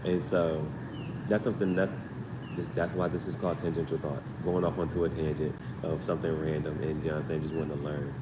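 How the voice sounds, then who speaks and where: A man's voice: flat delivery, fast. A man hosting a casual live stream as a tarot reader, rambling with slangy asides and repeating himself as he goes. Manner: repetitive, tangential, slangy asides. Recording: roomy, distant mic, noisy background with vehicle rumble.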